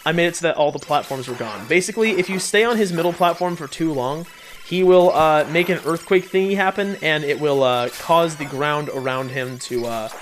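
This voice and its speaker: A man's voice: fairly high pitch, fairly quick, slight background noise. A man hosting a let's play with casual, rambling, jokey and self-deprecating commentary over game music. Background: video game music.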